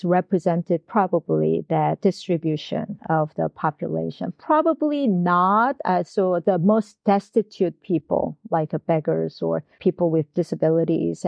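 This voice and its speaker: A woman's voice: fairly low pitch, gravelly, dry recording, highs cut off. A woman professor answering interview questions, speaking in a hesitant way with many fillers and restarts. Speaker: guest scholar, history professor.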